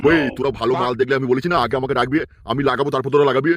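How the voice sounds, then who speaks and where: A man's voice: medium pitch, level tone, very fast, no echo, lightly acted. A man voicing a lustful, boastful village chief villain in a cartoon, with a bossy, scolding, swaggering manner. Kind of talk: adult cartoon comedy acting.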